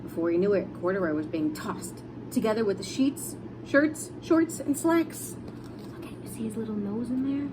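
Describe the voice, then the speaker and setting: A woman's voice: medium pitch, normal speed, noisy background. A woman reading a storybook aloud expressively for young children, in simple explanatory fashion. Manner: explains words simply as she reads. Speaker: storybook reader.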